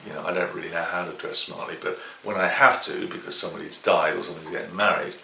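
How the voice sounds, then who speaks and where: A carpenter, talking about himself in a candid, dry, self-deprecating way. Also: male voice, low-pitched, fairly even intonation, fast, vocal fry, bright, forceful tone. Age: 50s. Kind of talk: candid documentary interview. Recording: echoey room with faint noise.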